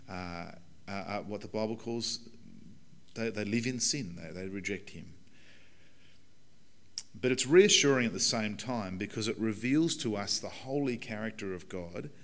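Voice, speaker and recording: A man in his forties, a pastor with a croaky, hoarse voice, giving a conversational and earnest sermon in a church. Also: lowish voice, a bit bright. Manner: conversational, earnest preaching with fillers. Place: church hall.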